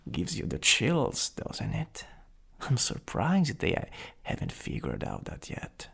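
A man with an Italian accent playing a jealous, flirtatious supervillain in an intimate ASMR roleplay, breathy and often whispering. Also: medium pitch, wildly swooping pitch, fairly bright tone, full character voice. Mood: teasing, intimate. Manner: slow, teasing, lingering delivery. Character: jealous, possessive, teasing flirtatious male supervillain.

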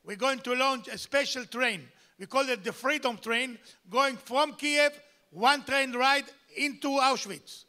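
A man's voice: very high voice, a little slow, bright, forceful tone. An older man with an Israeli accent gives an emphatic, repetitive speech into a handheld mic on a banquet hall stage. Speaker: gala speaker. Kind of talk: public speech at a gala. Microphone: handheld microphone.

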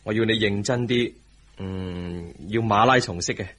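Husky, playful young Hong Kong husband. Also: male voice, lowish voice, a little slow, fairly bright tone. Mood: teasing, affectionate. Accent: Hong Kong Cantonese. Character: playful, doting young husband, rich family's son. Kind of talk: Hong Kong radio drama acting.

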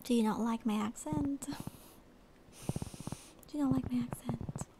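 Young woman streamer, slight accent, unhurried breathy ASMR whisper, hissy close-mic audio. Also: on the high side. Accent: slight non-American accent. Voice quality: soft airy whisper. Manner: relaxed, rambling, reads chat aloud. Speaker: VTuber streamer.

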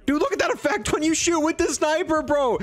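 A young man giving casual, slangy, excitable commentary as he reviews game content. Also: very high-pitched, a little fast, soft background music. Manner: excitable, slangy bro-and-dude talk. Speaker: gaming video creator.

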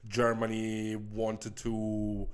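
A man with a fairly low voice and a strong Italian accent talks casually in an interview. His English is halting as a native Italian speaker, and he often searches for words. He holds the microphone in his hand. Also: monotone. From Milan, Italy. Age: 30s. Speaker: podcast guest, American football player. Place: small furnished room indoors. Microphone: handheld microphone.

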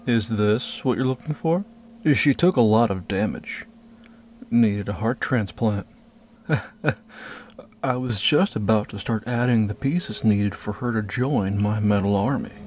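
A man's voice: lowish voice, measured pace, a little mellow, natural voice. Man acting a blunt, domineering factory lord. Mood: suspicious, smug. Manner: blunt, commanding. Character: gruff, suspicious rebel factory lord, domineering and sardonic. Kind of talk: comic dub voice acting. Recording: music behind the voice.

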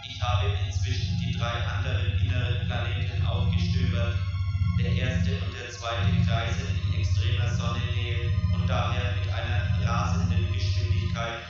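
Man acting a monotone, echoing ship computer. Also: medium pitch, natural voice. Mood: calm, factual. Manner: measured, factual, explanatory. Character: calm, all-knowing male central ship computer nicknamed Daddy. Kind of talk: science fiction radio play acting. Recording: echoey, distant, dull old cassette with music.

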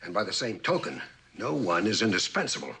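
An actor playing a stern, commanding older general with an American accent in a mid-century television drama, speaking in a blunt, deliberate way. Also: male voice, fairly low pitch, sing-song, sharp tone, full character voice. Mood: stern, admonishing. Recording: old television soundtrack with faint hiss.

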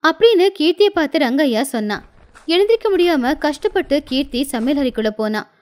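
A woman with a high voice tells a scripted moral tale as its narrator. She delivers it as storytelling for an animated story. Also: brisk.

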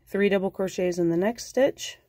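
Woman, stuffy and nasal from a cold, patient crochet teacher, mid pitch, dry close sound. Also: moderate pace, no room sound. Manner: calm, patient, counts stitches aloud. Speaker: crochet instructor. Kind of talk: step-by-step craft tutorial.

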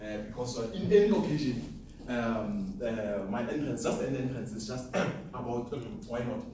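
A young man from South Africa giving an animated, boastful trading seminar to students, often laughing at his own lines. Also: medium pitch, normal speed, very noisy. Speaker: forex trading speaker. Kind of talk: live seminar talk to students. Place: classroom with seated audience. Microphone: far camera, no mic. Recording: echoey classroom from far off.

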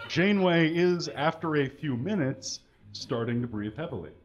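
A man's voice: on the high side, animated, normal speed, highs cut off, very noisy. A man giving a live comedic dramatic reading into a stage microphone, mock-earnest and exaggerated, spelling out mistakes and punctuation as he goes. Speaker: live comedy show performer reading fan fiction. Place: small indoor stage with curtains, live audience. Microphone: microphone on a stand.

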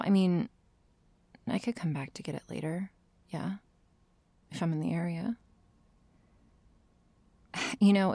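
Casting: woman as sardonic tsundere vampire girl for ASMR roleplay, low-pitched and soft, very close and dry. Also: creaky, dry recording. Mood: feigned indifference. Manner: sardonic, hesitant, feigning indifference. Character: tsundere vampire girl, prickly, teasing, secretly lonely.

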